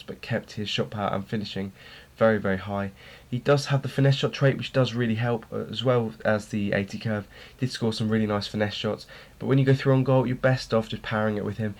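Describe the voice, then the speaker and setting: A young man reviewing a video game player card in an informal way, his voice slightly worn as he is losing it. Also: lowish voice. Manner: informal, repeats words for emphasis. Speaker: gaming video host.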